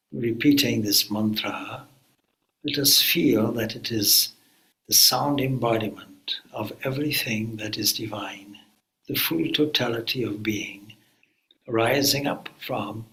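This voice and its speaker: A man, a swami, leading a guided meditation in a calm, unhurried way with long pauses. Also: mid-range voice, rough recording.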